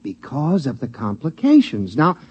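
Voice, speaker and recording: An actor playing a timid, placating husband and father in a husky, coaxing voice, in the manner of 1950s radio drama. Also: mid-range voice, wildly swooping pitch, normal speed, a little mellow. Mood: anxious, placating. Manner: conciliatory, coaxing. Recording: muffled vintage radio recording.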